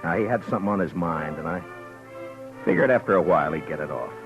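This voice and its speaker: Muffled old radio drama with music carries a very low American male voice. It is a man playing a weary western marshal, terse and deliberate, narrating his own story in 1950s radio style. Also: lightly acted. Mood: reflective, grave. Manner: laconic, deliberate.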